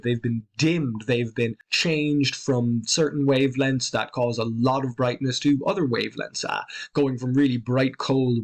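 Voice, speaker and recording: A man with an Irish accent calmly explaining the night sky in a conversational, educational voice-over. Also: medium pitch. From Ireland. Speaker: astronomy presenter.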